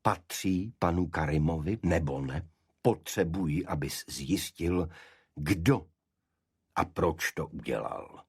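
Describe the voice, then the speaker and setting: A grave older gentleman of high office, played by a male narrator, with a very low, husky voice. He speaks formally and with measured authority, cold but worried, as in a detective audiobook. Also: no room sound, clean studio sound, full character voice. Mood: urgent, sincere. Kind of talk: audiobook character dialogue.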